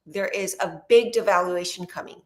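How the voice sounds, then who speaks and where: A middle-aged woman from Venezuela, a podcast guest explaining things in an earnest, measured way. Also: on the low side. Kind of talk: podcast interview. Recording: echoey room.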